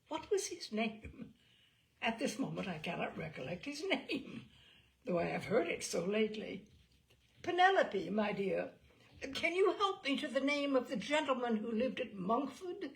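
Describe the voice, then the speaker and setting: Woman voicing a fussy, rambling gentleman lawyer, animated. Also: on the high side, gravelly, voice pushed up, exaggerated voice. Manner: rambling, eager, self-interrupting. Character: fussy, talkative, deferential middle-aged male lawyer and agent. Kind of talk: acted audiobook dialogue from a classic novel.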